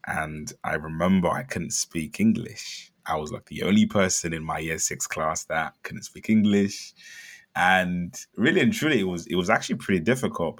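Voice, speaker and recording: Deep gravelly guy from zambia, young founder, talks casually, fillers everywhere, gets animated. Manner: chatty, many fillers, animated when excited. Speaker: startup founder guest. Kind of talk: casual interview storytelling.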